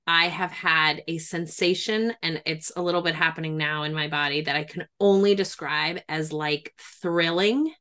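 A middle-aged woman with a fairly low voice talks in a reflective interview. She sounds like an earnest therapist thinking aloud, leaning on key phrases to make them land. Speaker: therapist and grief expert.

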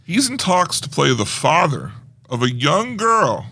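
A man's voice: fairly high pitch, very animated, unhurried, bright, forceful tone, breathy edge, no echo. A man co-hosting a podcast, chatting casually and wryly about movie news. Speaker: podcast co-host.

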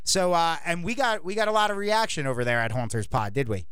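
A man hosting a podcast in casual chat, rambling and animated, with many restarts. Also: fairly high pitch, fast talker, clear, resonant tone, no room sound, clean studio sound. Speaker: podcast host.

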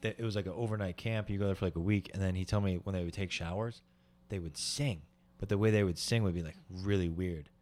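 Low-voiced man telling crude casual anecdotes. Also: fairly even intonation, fast, darkish tone. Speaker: podcast co-host. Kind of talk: casual comedy banter and anecdotes.

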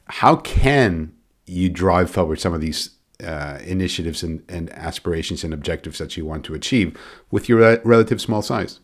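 Low-voiced male interviewer. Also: animated, moderate pace. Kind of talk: conversational interview questioning.

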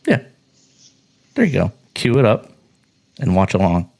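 Deep-voiced podcast host guy, playful, jokes around. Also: very animated, unhurried, gravelly, darkish tone. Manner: joking, playful. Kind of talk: casual chat.

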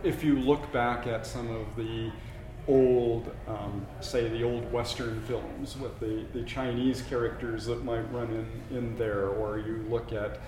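A man, an author, talking casually about his research, halting with many fillers and repeats. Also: mid-range voice, little pitch movement, roomy sound, a crowd in the background, poor audio. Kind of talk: casual conversation about writing.

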